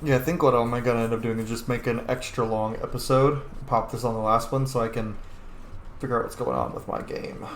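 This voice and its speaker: Man, gamer, casual playthrough commentary, muttering to himself, mid pitch, echoey room, faint background noise. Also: animated, brisk. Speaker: gamer recording a roleplay playthrough.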